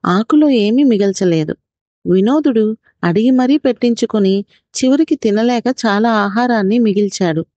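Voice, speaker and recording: A woman tells a children's moral story in a gentle, explanatory way, like a mother to her child. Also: fairly high pitch, normal speed, dry recording, dull audio.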